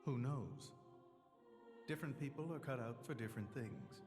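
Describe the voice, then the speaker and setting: Game role: a man plays courteous, solemn aged leader, mid-pitched, stately delivery over prominent music. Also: animated, lightly acted. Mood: courteous, calm. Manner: formal, measured, dignified. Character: solemn old male ruler, courteous and grave. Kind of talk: dramatic game cutscene acting. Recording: orchestral music behind the voice.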